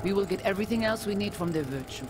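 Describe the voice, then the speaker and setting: An actor playing a terse, secretive gang fixer in a video game, giving curt orders. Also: male voice, lively intonation, quick pace. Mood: instructing. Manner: curt, commanding, clipped non-native phrasing. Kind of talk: video game dramatic acting. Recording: noisy ambience, vehicle-like rumble.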